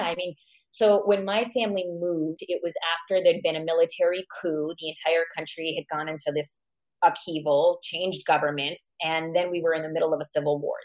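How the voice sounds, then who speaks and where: A woman's voice: low-pitched, a little mellow, low-quality recording. A woman born in Iran and raised in America, a psychologist guest, reflecting on her life and thinking aloud with questions to herself. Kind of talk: reflective personal storytelling.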